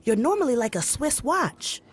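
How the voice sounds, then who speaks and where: An actress playing a sassy, unflappable young office assistant in an audio comedy, slangy and flippant. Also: female voice, sits high, very animated, moderate pace, slightly rough, full character voice. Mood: teasing.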